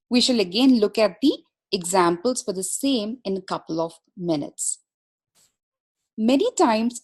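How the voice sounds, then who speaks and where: A woman's voice: mid-range voice, a little mellow. A woman presenting a webinar as a consultant, explaining material step by step in a formal, methodical way.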